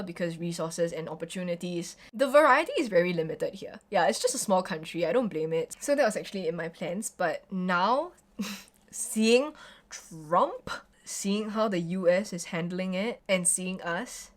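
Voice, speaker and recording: A woman in her twenties from Singapore with a Singaporean English accent, chatting casually and opinionatedly in a home vlog, with lots of "like" fillers. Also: lowish voice. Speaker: vlogger. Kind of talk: casual get-ready-with-me chat.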